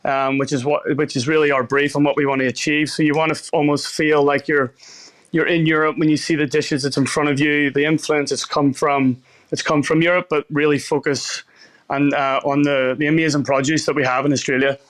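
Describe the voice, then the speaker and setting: A man's voice: fairly high pitch, flat delivery, brisk, a bit bright. A man with a Northern Irish accent talking casually as an interview guest, often rephrasing himself as he goes. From Belfast, Northern Ireland. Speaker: chef, podcast guest.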